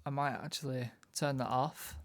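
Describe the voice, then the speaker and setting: Low-voiced man with a gravelly, creaky edge. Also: steady tone, normal speed, no echo. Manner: informal, thinking aloud as he works. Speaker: music production tutor. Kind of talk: casual software tutorial narration.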